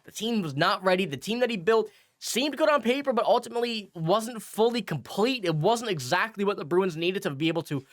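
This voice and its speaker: A man's voice: high-pitched, expressive, fairly quick, few pauses, no room sound, studio quality. A young man from Newfoundland with a stuffy cold, rambling through sports news commentary with many restarts. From Newfoundland, Canada. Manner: rambling, restarts often with fillers. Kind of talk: casual commentary.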